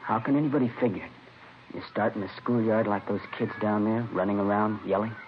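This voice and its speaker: An actor playing a resigned, brooding murderer in a 1950s radio drama, musing quietly and fatalistically. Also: male voice, on the low side, fairly even intonation, brisk, lightly acted. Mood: brooding, wistful. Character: resigned, brooding male murderer giving himself up. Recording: dull, noisy old radio recording.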